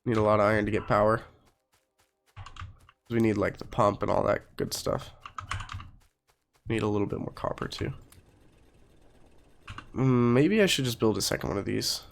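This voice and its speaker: Man, low voice, slightly under the weather with a cold, casual gaming commentary, informal rambling explanations. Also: normal speed, creaky, pauses often. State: getting over a cold. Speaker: gaming channel host. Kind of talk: casual let's play commentary.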